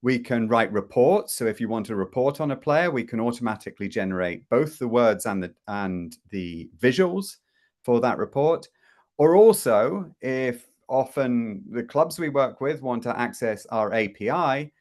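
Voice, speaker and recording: A middle-aged man hosting a live product demo from home over a video call, talking casually with many fillers and restarts. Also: medium pitch. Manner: chatty, with frequent fillers and restarts. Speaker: host presenting a product demo. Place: home room.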